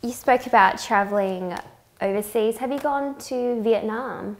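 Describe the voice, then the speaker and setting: A woman's voice: fairly high pitch, measured pace, rough recording. A woman, putting prepared questions to a guest as an interviewer. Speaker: interviewer, journalist.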